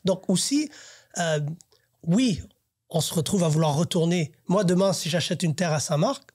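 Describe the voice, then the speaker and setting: A man's voice: sits high, normal speed, darkish tone, husky, no room sound, clean studio sound. A man, host of a podcast, talking earnestly in a casual panel discussion and thinking aloud as he goes. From Haitian family, raised in Canada.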